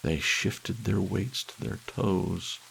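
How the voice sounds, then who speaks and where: Very deep, gravelly male audiobook narrator. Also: slow, rough recording. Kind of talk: scripted story narration for ASMR audiobook. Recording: faint hiss with rain sound. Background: soft rain.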